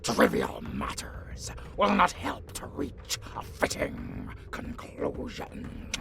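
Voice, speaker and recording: A gravelly monster lord, speaking slowly and with great formality. The pitch swoops widely within a breathy, diplomatic delivery. Clear noise sits on the recording. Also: wildly swooping pitch, full character voice. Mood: polite, measured. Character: courteous, hesitant monster lord, formal peacemaker. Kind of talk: fantasy audio roleplay acting.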